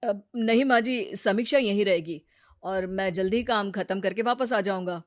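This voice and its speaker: A woman's voice: mid-range voice, moderate pace, no room sound, natural voice. Woman acting a sensible brother-in-law, dry recording. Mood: patient. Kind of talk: cartoon moral story acting.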